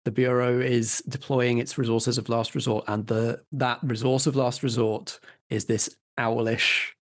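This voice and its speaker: Man, average pitch, game master, deliberate storyteller delivery, tabletop narration. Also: little pitch movement.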